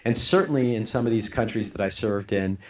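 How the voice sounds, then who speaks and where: A man's voice: fairly low pitch, fairly quick, highs cut off. A middle-aged man who is a retired army intelligence officer, giving earnest political commentary as a radio guest and often restarting his sentences. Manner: earnest, stop-start, repeats words while thinking.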